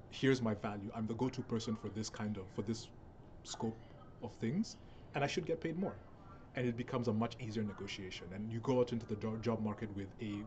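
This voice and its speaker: A young man speaks in a fairly low voice, addressing an event audience in a recorded message. He explains his points step by step, repeating phrases for emphasis. Faint noise sits behind his voice. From Kenya (Nairobi). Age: young adult. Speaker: speaker, software professional. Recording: steady background noise.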